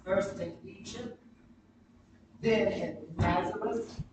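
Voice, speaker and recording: Woman preacher, slow emphatic sermon delivery with dramatic pauses, picked up off-mic over clear background noise. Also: mid-range voice, steady tone, a little mellow. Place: church sanctuary during a live service. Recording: very echoey, distant mic, noisy church livestream.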